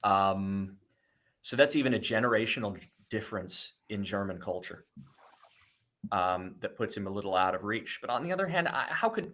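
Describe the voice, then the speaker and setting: A middle-aged man from the United States, a guest talking in a relaxed interview, often restarting and repeating words. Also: fairly low pitch, moderate pace. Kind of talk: conversational interview.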